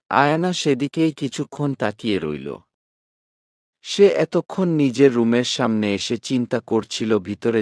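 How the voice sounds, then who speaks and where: A man narrating a romantic story from a script in a measured, expressive reading style. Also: fairly low pitch, dry recording, clean studio sound.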